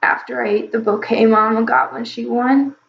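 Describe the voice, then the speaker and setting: A woman voicing a sick, sweet little boy dragon who talks slowly and weakly. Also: fairly high pitch, fairly even intonation, creaky. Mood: feeble. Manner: slow, feeble and whiny. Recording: roomy, slightly dull recording.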